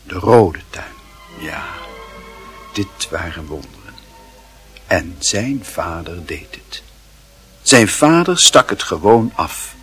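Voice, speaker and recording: A man narrating a radio play in a literary style, with measured, dramatic phrasing. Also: low-pitched, lively intonation, a bit bright, soft background music.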